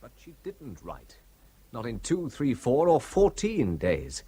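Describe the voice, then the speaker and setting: An actor playing an anxious, politely persistent young British brother in a radio drama, hesitant and wry, with a husky voice. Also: male voice, mid-range voice, wildly swooping pitch, slow, warm tone. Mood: worried, pressing. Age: young adult.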